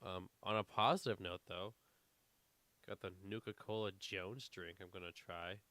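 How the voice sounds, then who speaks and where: A man's voice: sits low, fairly even intonation, normal speed, pushed and bright, dry recording. A man co-hosting a casual podcast, rambling with lots of fillers, a little congested. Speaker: podcast co-host. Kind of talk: casual chat.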